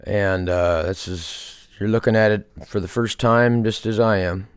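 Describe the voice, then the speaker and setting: A man's voice: fairly low pitch, steady tone, unhurried, smooth, no room sound. A man giving a casual, unscripted show-and-tell as a hobbyist presenter, hesitantly thinking aloud as he goes. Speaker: hobbyist restorer presenting a project.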